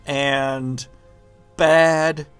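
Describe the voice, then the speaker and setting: A man acting as game master for a tabletop role-playing game, improvising narration and table chat in halting, deliberate phrases. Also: a little high, little pitch movement, over loud music.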